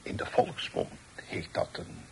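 A man acting in a Dutch radio play as a worldly, authoritative middle-aged father, speaking in a measured, formal way. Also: a bit bright, slight background noise, close to an everyday voice, low-quality recording. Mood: confidential, explanatory. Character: authoritative, worldly father advising his grown son. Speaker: radio drama actor.

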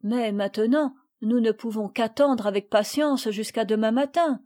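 Brief: stern yet tender mother, read by a woman in a storybook, measured and firm. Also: on the high side, mellow, close to an everyday voice. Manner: firm, reproving, then tender. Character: gentle, moralizing mother of a girl. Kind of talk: audiobook reading.

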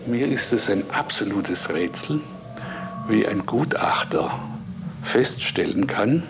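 A man giving emphatic, deliberate expert commentary in an interview. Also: lowish voice, expressive. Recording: clearly noisy interview recording.

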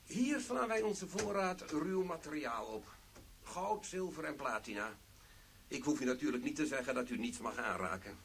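An actor playing a formal, precise and officious company manager in a radio drama. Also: male voice, fairly high pitch, lively intonation, moderate pace, gravelly, fairly bright tone, a little hiss or hum. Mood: formal, proud. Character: formal male security-minded company manager showing a vault.

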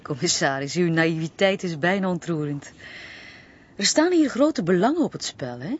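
An actress playing a guarded, composed intelligence agent with a Flemish accent, evasive and slightly condescending. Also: female voice, on the low side, sing-song, moderate pace, slightly rough. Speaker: secret service agent. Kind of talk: television crime drama. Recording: dull lo-fi TV audio with faint ambience.

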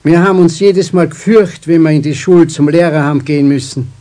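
Male actor playing old grandfather, Bavarian accent, higher-pitched male voice, proud, easygoing, homely colloquial talk, radio drama. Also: steady tone, a little fast, warm tone, lightly acted. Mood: grateful, relieved. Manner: colloquial, jovial. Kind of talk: children's radio play acting.

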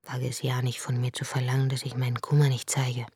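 A woman's voice: a bass voice, completely flat delivery, brisk, a little mellow, slightly rough, no room sound, clean studio sound, heavily acted. An actress playing a grieving, childless woman who tells her story in a wry, bitter radio drama monologue.

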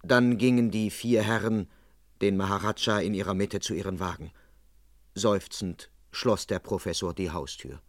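Measured police constable narrator played by a man. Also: fairly low pitch, fairly even intonation, no room sound, clean studio sound, lightly acted. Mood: measured narrating. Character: dutiful young police constable narrating a comic crime case. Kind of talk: German radio crime play narration.

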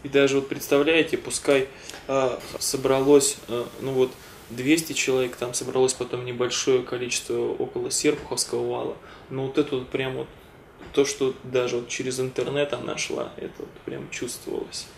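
Guy cohosting a vlog, pretty flat voice, casual, little bit of noise behind. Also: medium pitch, normal speed, creaky. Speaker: video co-host. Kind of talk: casual chat to viewers.

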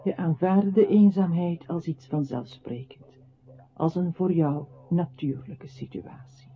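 An actor playing a grave narrator in a radio drama, telling of a lonely man's downfall in measured, literary phrases with a husky voice. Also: a little high. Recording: muffled phone-like old radio recording.

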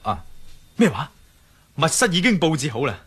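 An actor playing a level-headed adventurer in a Cantonese radio drama, explaining and reassuring. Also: male voice, a little high, very animated, moderate pace, breathy edge. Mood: reassuring, brisk.

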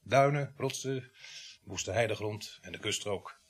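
An actor in a radio play as a middle-aged, grumbling veteran village police sergeant, speaking with dry, gruff sarcasm. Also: male voice, lowish voice, a little slow. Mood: dry, instructive. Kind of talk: radio drama acting.

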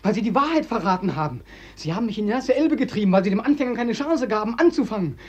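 An actor playing a bitter, haunted young drowned soldier in a mid-century radio drama, mockingly deferential, accusing and anguished. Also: male voice, high-pitched, lively intonation, fast talker, darkish tone, husky. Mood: accusing, despairing. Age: young adult. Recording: dull, band-limited old radio recording.

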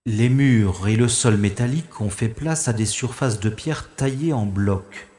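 A man's voice: medium pitch, measured pace, mellow, roomy sound, long reverb tail, close to an everyday voice. An actor playing a composed special agent hero who narrates his own escape in the first person with dry, wry asides. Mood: calm. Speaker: lead character and narrator.

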